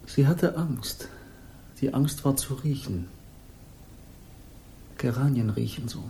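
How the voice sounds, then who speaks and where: An actor playing an aloof, dreamy hippie guru in a radio crime drama, speaking in cryptic, solemn pronouncements. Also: male voice, medium pitch, gravelly, warm tone, a little hiss or hum, rough recording. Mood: detached, stubborn. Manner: slow, cryptic, repetitive pronouncements. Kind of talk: 1960s German radio crime drama acting.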